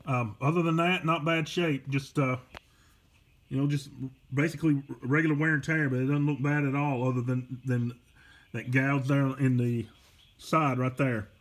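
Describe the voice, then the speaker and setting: A man with an average-pitched voice casually walks through a product for sale. He talks plainly and haltingly, unscripted, from behind a handheld camera at home. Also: fairly even intonation. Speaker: online seller demonstrating a car stereo. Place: carpeted room at home. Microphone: handheld camera behind the lens.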